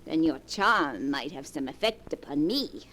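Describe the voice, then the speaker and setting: An actress playing a rich, sharp-tongued old grandmother with a dry, haughty, cutting delivery in 1940s radio drama style. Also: deep voice, animated, a little slow, slight background noise, poor audio. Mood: sly, amused. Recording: vintage 1940s radio recording.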